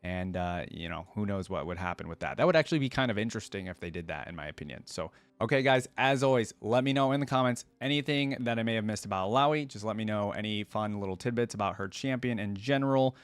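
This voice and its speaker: A man with a fairly low, clear voice gives casual commentary as a video host. He rambles conversationally, working through his thoughts aloud. The sound is dry, with very little room in it. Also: animated, quick pace, few pauses, no room sound. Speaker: YouTube reaction host. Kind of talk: casual reaction commentary to camera.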